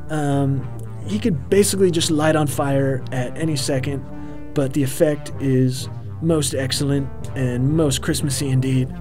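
A man in his thirties giving a rambling, relaxed behind-the-scenes commentary with quaintly formal turns of phrase, full of ums and uhs. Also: on the high side. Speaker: puppeteer and co-host of a commentary track. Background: faint background music.

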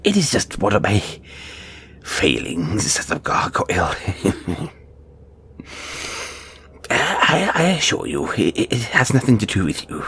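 A man's voice: lowish voice, huge pitch swings, takes it slowly, creaky, pauses often, fairly bright tone, slightly rough, full character voice. A man acting a squeamish, timid gargoyle handler in an audio roleplay, stammering and speaking with nervous, formal politeness. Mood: apologetic, nervous.

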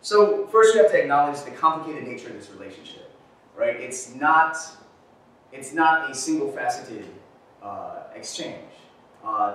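A man in his thirties giving a casual presentation at a talk event, speaking conversationally and leaning on "right?" and filler words. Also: mid-range voice. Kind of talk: lecture presentation. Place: gallery-like room with a screen. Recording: echoey room recorded from far off.

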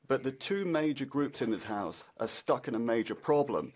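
A man simultaneously interpreting a parliamentary speech, halting with frequent fillers and then pressing on in quick bursts. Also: medium pitch, animated, darkish tone.

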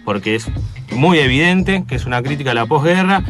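A man's voice: mid-range voice, animated, fairly quick, fairly bright tone, faint music behind. A man with an Argentine accent chatting casually as a radio columnist, hesitant and searching for words. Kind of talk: casual explanatory chat.

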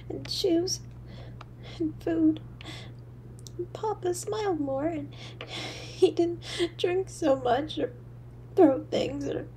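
A woman voicing a frail, frightened little street girl in an audio drama, timid, halting, shivering and tearful. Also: high-pitched, dark tone, a little hiss or hum. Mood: wistful, sad. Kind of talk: dramatic audio story monologue.

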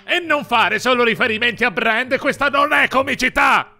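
A man's voice: very high-pitched, normal speed, over music. A man voicing an arrogant, irritable little cartoon chihuahua, sarcastic and exasperated. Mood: sneering. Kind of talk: cartoon dub acting in Italian.

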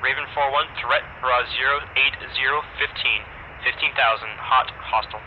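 A man makes clipped, procedural flight radio calls over a headset. His medium-pitched voice comes through muffled, with clear noise around it. The delivery is military brevity from a flight sim squadron. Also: fairly even intonation, moderate pace. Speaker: flight lead in a virtual squadron. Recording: noisy, muffled radio comms with engine rumble.

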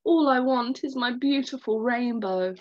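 Storybook tadpole, young and male, pleading and earnest as a woman reads him, slightly high voice, dull lo-fi sound. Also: unhurried, close to an everyday voice. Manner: earnest, apologetic. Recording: dull lo-fi recording.

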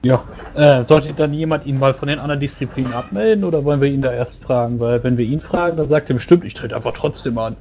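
A man's voice: medium pitch, fast, few pauses, darkish tone. A man playing a tabletop role-playing game and talking casually.

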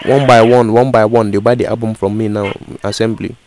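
A man's voice: on the low side, fairly even intonation, natural voice. A man voicing a bumbling, persistent uncle on a comic prank call, repeating himself and misunderstanding things. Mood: earnest, confused. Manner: repetitive, slow on the uptake, misunderstands on purpose. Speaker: prank caller. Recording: noisy prank call recording.

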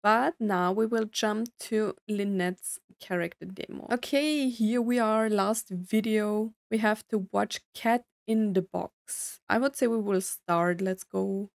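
A woman's voice: mid-range voice, fairly even intonation, takes it slowly, creaky, few pauses, no echo, studio quality. A young woman giving casual reaction commentary as a video host, thinking aloud in an informal, hesitant way. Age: young adult. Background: faint game music.

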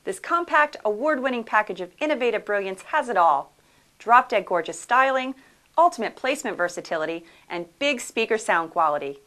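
A woman's voice: mid-range voice, animated, brisk, fairly bright tone. A woman reading scripted promotional narration for a product video in a polished, upbeat sales manner.

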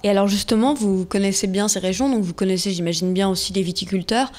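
Animatrice de plateau télé au ton vif, une femme à la voix moyenne. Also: female voice, mid-range voice, quick pace, darkish tone. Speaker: TV show host. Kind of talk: studio interview. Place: TV studio. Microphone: desk mic.

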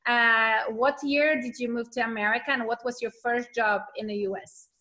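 A woman's voice: a little high, steady tone, normal speed, sharp tone. Polite woman host, Polish accent. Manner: polite, appreciative questioner. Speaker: interview host. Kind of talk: casual video-call interview. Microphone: video call.

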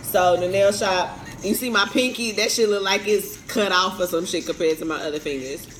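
A woman in her twenties chatting casually while eating on camera, telling a story in relaxed slang. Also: mid-range voice, moderate pace, a little hiss or hum. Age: 20s. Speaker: vlogger. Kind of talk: casual mukbang chat. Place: indoors by a concrete wall, eating at a table. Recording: roomy echo with music underneath.

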